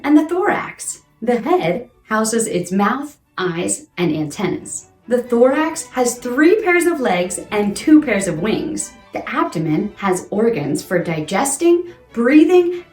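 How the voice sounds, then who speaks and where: A woman presents to young children with a very animated voice that swoops up and down. She sits fairly high in pitch and speaks in a playful, exaggerated read-aloud style. The room rings noticeably around her. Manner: exaggerated, exclamatory, asks questions of young listeners. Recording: roomy echo with music underneath. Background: music.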